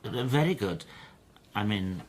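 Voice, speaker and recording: An actor playing a meek, anxious stamp dealer in a radio drama, halting and defensive as he speaks. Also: on the low side, expressive, a little hiss or hum. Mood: guarded, hesitant. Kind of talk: BBC radio drama dialogue.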